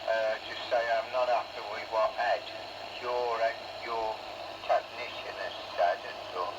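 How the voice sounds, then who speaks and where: A man's voice: lowish voice, steady tone, measured pace. A British man speaks casually and directly over CB radio, with a matey manner. The sound is muffled and buried in heavy noise. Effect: CB radio transmission. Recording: dull, very noisy CB radio reception.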